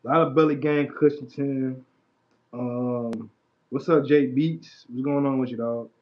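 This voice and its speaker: A man's voice: medium pitch, steady tone, a little slow. A man in his late twenties chatting casually on a livestream about music, laid back and slangy, trailing off into mumbled asides. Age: 20s. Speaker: livestream host. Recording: dull lo-fi stream audio.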